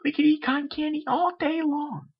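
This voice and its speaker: A man voicing a bubbly, childlike female cartoon pony in a gushing, excitable falsetto. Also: normal speed, muffled recording. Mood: gleeful.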